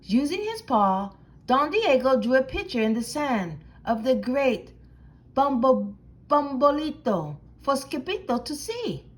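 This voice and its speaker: A woman narrates a children's storybook in a high, very animated voice. She phrases theatrically and takes her time over the words. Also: a little slow. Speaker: storybook reader. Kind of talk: expressive children's read-aloud narration.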